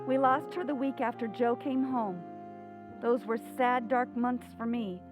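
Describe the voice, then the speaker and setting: An actress playing a tender, middle-aged mother who tells her family's story in a gentle, measured way, in old-time radio drama style. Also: a little high, fairly even intonation, music in the background, close to an everyday voice. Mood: sorrowful, reflective.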